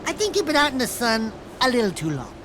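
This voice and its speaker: A man's voice: very high-pitched, huge pitch swings, quick pace, noisy background, full character voice. A man voicing a small, cowardly, wisecracking animal sidekick in a video game, brash and whiny. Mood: mouthy, teasing. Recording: loud music underneath.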